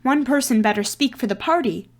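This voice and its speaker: A woman's voice: on the high side, brisk, lightly acted. A woman voicing a timid, cautious, earnest talking lion in a storybook, advising in an urgent, fretful way. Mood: cautioning.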